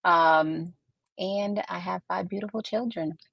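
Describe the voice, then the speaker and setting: A woman from Puerto Rico, a guest on a podcast, answering questions in a measured, explanatory way. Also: fairly low pitch, creaky, no room sound, highs cut off. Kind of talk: conversational interview answers.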